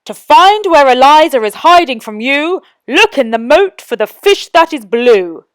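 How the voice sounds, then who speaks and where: Woman acting a booming, gloating wicked storybook wizard. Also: sing-song, unhurried, no echo. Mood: triumphant chanting.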